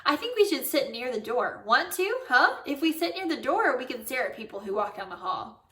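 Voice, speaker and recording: A woman reading a children's book aloud, voicing an excitable, sassy first-grade girl with a childish, dramatic, blunt manner. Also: high voice, moderate pace, full character voice. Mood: eager, pleading. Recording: roomy echo, distant microphone.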